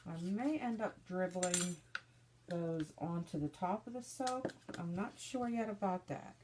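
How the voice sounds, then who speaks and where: A woman making soap, chatting through each step in a casual demonstration, her voice slightly stuffy and hoarse from a cold. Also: on the low side, a little slow, background noise. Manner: chatty, narrating each step as she works.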